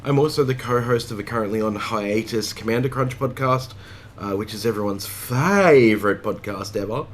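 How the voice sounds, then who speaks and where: A man from Australia co-hosting a casual card game stream, his voice still rough after covid, talking frankly with lots of ums. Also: lowish voice, normal speed, slight background noise. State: recovering from covid, voice affected. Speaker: streamer and co-host.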